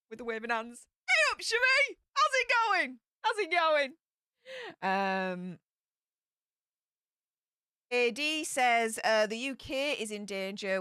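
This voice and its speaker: Woman, Yorkshire accent, stream host, chatty, reads comments aloud, bright voice, dry close sound. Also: mid-range voice, clean tone, no echo. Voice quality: broad Yorkshire slang and greetings. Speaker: livestream host reading chat. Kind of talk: casual live chat.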